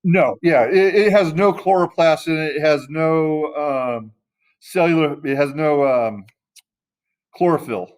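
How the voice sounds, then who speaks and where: Male teacher in a classroom, talks loosely and stops to find words, voice a bit on the high side. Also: measured pace. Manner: loose and conversational, searching for words mid-sentence. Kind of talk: casual classroom lesson.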